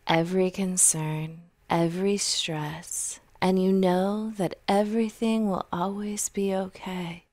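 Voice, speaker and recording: A woman narrating a scripted guided meditation in a gentle, soothing, unhurried manner, softly trailing off at the ends of phrases. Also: fairly low pitch, no room sound.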